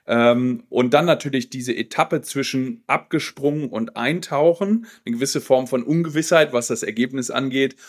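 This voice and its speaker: A man in his forties talks about himself as a guest in an interview. His voice is strong and commanding at a middle pitch, and he speaks reflectively, often pausing and repeating words as he searches for the next thought. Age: 40s. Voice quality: loud, commanding voice. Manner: reflective, halting with frequent pauses and repeated words. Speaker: podcast guest, personal development coach. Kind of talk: personal storytelling in an interview.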